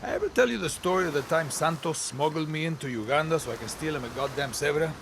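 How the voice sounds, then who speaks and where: An actor playing a sly, swaggering guerrilla spymaster with a gravelly voice in a video game. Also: male voice, fairly high pitch, fast, background noise, natural voice. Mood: wry, knowing. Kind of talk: video game cinematic acting. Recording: music behind the voice.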